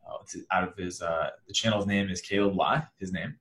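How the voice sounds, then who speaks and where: Deep-voiced man hosting an interview. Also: a little fast, poor audio. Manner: relaxed, brief acknowledgements. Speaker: podcast host.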